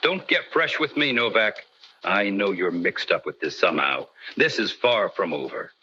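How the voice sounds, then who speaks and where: An actor playing a gruff, impatient police detective in an old-time radio crime drama, curt and suspicious. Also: male voice, deep voice, expressive, moderate pace, pushed and bright, lo-fi sound, slight background noise. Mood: irritated, threatening.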